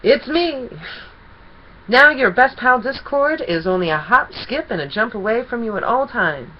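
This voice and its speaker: A woman's voice: a little high, lively intonation, measured pace, lo-fi sound, a little hiss or hum, voice pushed up. Woman acting gleeful, swooping chaos creature Discord. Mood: excited, sociable. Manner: theatrical, mock-innocent, gushing. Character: gleeful, teasing male chaos creature, theatrical mischief-maker. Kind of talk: children's storybook character reading.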